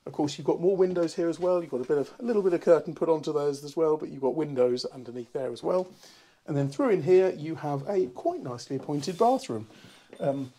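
A man, a bit hoarse from a cold, chatting through a walkthrough tour of a barge. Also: fairly high pitch, fairly quick, dark tone. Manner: chatty and upbeat, many ums. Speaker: boat broker. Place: inside a barge, small cabin rooms.

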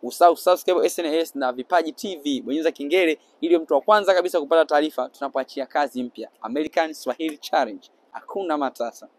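Tanzanian guy w handheld mic on a sunny beach, slightly high voice, slow explaining, broken english. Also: dry recording. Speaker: street interviewer. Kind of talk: casual street interview. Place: sunny beach boardwalk outdoors.

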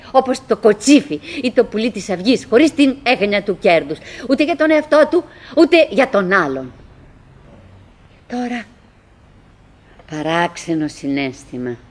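An actress playing a middle-aged woman in a radio theatre monologue, wistful and doggedly cheerful, musing in halting, repetitive phrases. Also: medium pitch, a little mellow, natural voice. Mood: hushed, pensive.

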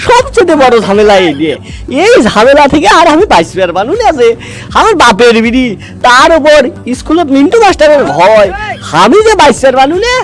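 A man voicing a cheeky, cowardly truant schoolboy in a comic cartoon, whiny and exclaiming with drawn-out sighs in a Bogra accent. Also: extremely high pitch, big pitch swings, soft background music. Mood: blissful, sly. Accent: Bogra, northern Bangladesh. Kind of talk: comic cartoon dubbing in rural dialect.

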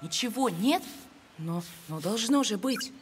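A woman voicing a curious, determined young boy with an earnest manner in an animated cartoon. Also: medium pitch, unhurried, noisy background, lightly acted. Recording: music under the voice.

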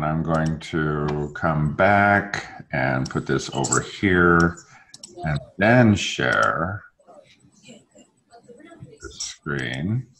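A man in his fifties runs a friendly English and Spanish practice session from a home room. His voice sits slightly low. The video-call audio carries a faint hiss underneath. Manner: mixes English and Spanish, relaxed, self-correcting. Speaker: language exchange facilitator. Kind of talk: casual bilingual tutoring on a video call.

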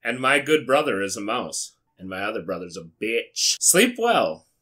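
An American man hosting a comedic read-aloud, dropping wry, sweary, deadpan asides in a casual way. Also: fairly low pitch, normal speed. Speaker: YouTube host. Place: small indoor studio with foam panels.

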